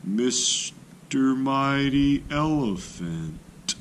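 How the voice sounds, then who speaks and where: A sly little storybook tortoise, acted out by a man, speaks very slowly and courteously. Each word is stretched out with care, with a faint hiss of noise behind. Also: medium pitch, expressive, pitched above the performer's own voice. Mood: sly, polite. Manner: drawn-out, deliberate, courteous delivery. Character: slow-talking, clever little tortoise, sly and polite.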